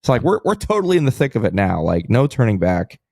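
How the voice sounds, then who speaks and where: A man's voice: mid-range voice, big pitch swings, fast talker, vocal fry, a little mellow, breathy edge, no echo, studio quality. An American man chatting casually as co-host of a sports podcast.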